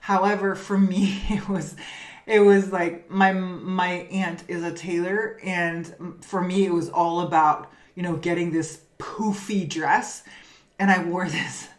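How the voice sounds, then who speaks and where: A woman from Denmark, a spiritual business coach, telling a personal story in a reflective, halting way with many restarts. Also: on the low side, little pitch movement. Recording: roomy, echoey room.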